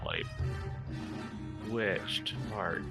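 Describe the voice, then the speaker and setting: A man's voice: sits low, huge pitch swings, drawn-out words, vocal fry. A man talking casually as a player in a tabletop game, thinking through his choices. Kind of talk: casual game chat with rules questions. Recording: music behind, online voice call. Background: music.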